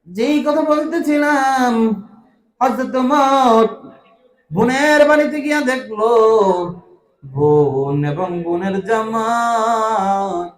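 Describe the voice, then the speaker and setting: A man with a Bangladeshi accent preaching an emotive Islamic sermon, pleading with listeners in a drawn-out, sing-song delivery. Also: high voice. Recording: echoing sound system.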